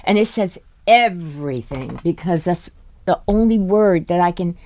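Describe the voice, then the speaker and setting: Slow, grave, low-voiced woman. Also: animated, darkish tone, dry recording. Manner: grave, halting, deliberate. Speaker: actor. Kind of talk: reflective panel discussion.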